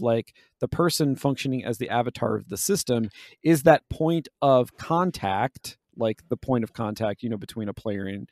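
A man co-hosts a casual, analytical podcast in a medium-pitched voice. The recording is dry, with little room sound. He uses academic wording loosened up with "like" and "you know". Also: a little mellow, no echo. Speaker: podcast host.

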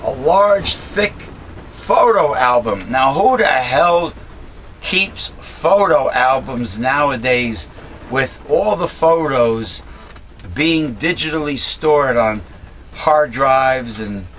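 Raspy middle-aged man rambling to a webcam. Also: mid-range voice, animated, slow, fairly bright tone, slight background noise, rough recording. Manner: rambling, many fillers and restarts, blunt opinions. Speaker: host of a political commentary channel. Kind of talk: casual monologue, talking to camera. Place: small cluttered home room indoors.

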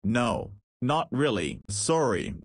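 A man's voice: mid-range voice, sing-song, slow pace, no room sound, clean studio sound. A man voicing a cheeky, easygoing nineteen-year-old in a visual novel, with casual, slangy speech. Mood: curious. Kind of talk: visual novel character dialogue.

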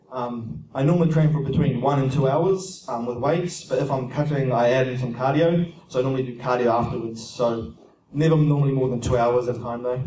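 A young man with a New Zealand accent answers audience questions in a steady, plain explaining manner, often pausing on "um", speaking into a handheld mic in a large conference room. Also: mid-range voice, distant mic, a little hiss or hum. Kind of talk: casual Q&A seminar talk. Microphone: handheld mic, heard from a distant camera. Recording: echoey room heard from afar.

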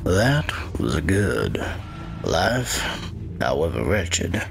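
A man acts out an ancient body-stealing wight in a dark fantasy audio drama. The low voice creaks with gravel and swings dramatically in pitch, cold, patient and menacing. The delivery is brooding and deliberate. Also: very animated, music in the background, heavily acted. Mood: cold, calculating.

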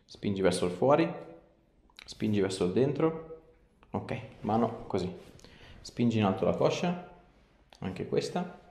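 Low-voiced male chiropractor, echoey room. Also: expressive, a little slow, gravelly, pauses often, a little mellow. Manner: short, informal instructions and questions. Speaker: chiropractor examining a patient. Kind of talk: casual clinical exam chat with instructions. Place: small indoor clinic room. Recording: echoey small treatment room.

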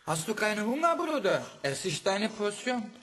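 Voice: mid-range voice, sing-song, moderate pace, background noise, lightly acted. An actor playing a tough but friendly street boy who talks in blunt, clipped urban slang in an audio drama. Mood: brash, chummy.

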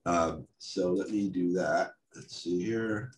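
Congested older man, very low voice. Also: a little hiss or hum. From United States. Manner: halting, many restarts, wry asides. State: congested with a head cold. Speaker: guest speaker. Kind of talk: casual talk over a video call. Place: home office or study, indoors. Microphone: video call webcam.

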